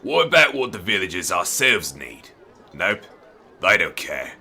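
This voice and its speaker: A man playing a surly, bitter village thug with a crude, gruff, menacing manner. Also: low voice, very animated, measured pace, sharp tone, full character voice. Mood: grumbling. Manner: gruff, foul-mouthed, menacing.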